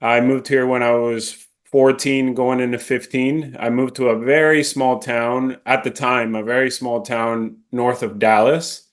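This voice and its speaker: A man's voice: mid-range voice, level tone, a little slow. A man in his thirties from Venezuela with a faint Venezuelan Spanish accent, a podcast guest talking casually and correcting himself as he goes. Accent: slight Venezuelan Spanish. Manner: rambling, self-correcting, many fillers. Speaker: podcast guest, real estate agent. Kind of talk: casual interview conversation.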